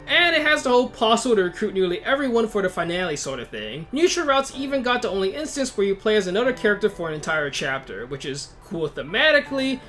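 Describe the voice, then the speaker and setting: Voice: mid-range voice, fairly bright tone. A video host reading a scripted but casual review, informal and opinionated, with wry asides.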